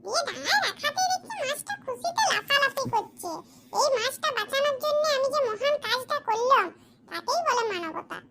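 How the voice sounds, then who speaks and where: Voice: very high voice, animated, moderate pace, a loud crowd. A performer voicing a cheeky, boastful schoolchild in a comedy cartoon, talking in a cocky, argumentative, colloquial way. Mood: earnest, cocky. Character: cheeky, boastful Bengali schoolchild, dim but sly.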